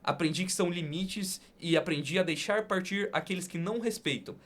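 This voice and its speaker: A man with a somewhat high-pitched voice hosts a podcast. His delivery is deliberate and conversational, leaning poetic, with emphatic pauses between phrases. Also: a bit bright. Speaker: podcast host. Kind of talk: conversational hosting and spoken-word poem recital.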